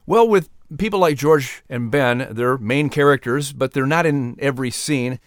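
A man's voice: mid-range voice, normal speed, no echo, clean studio sound. A man speaking as an announcer and voice actor, explaining in a conversational interview style.

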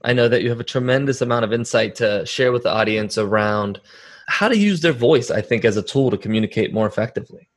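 A man's voice: medium pitch, fast talker. A man speaking as a podcast host, interviewing a guest in a conversational style.

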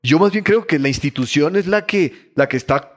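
A man's voice: fairly high pitch, moderate pace. A man in his fifties, born in Colombia and raised in Ecuador, a musician chatting animatedly in an interview and restarting his sentences often. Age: 50s. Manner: animated storyteller, frequent restarts, laughs easily. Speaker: musician guest. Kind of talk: casual interview chat.

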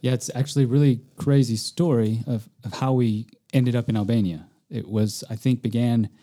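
A man's voice: medium pitch, fairly even intonation, normal speed, warm tone. A man answering interview questions about his work as a field rep, speaking in a friendly, lightly joking way. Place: living room of a log cabin.

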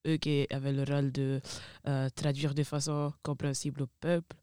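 A woman's voice: extremely low pitch, level tone, normal speed, dark tone, smooth, no echo, studio quality. A young woman from Italy with an Italian accent, chatting casually and informally as a podcast guest. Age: young adult. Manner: informal, frequent slang fillers like "genre". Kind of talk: casual chat.

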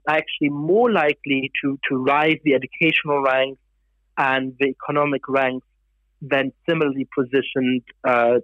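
A man, an author raised in Germany, explaining his views in a panel discussion in a measured way, with frequent fillers and restarts. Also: medium pitch, dry recording, lo-fi sound. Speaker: author and guest.

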